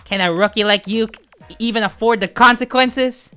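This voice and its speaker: A woman's voice: a little high, moderate pace, bright, forceful tone, clean tone. A woman voicing a bossy senior worker devoted to their lord, scolding in a curt, haughty way over music. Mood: scornful.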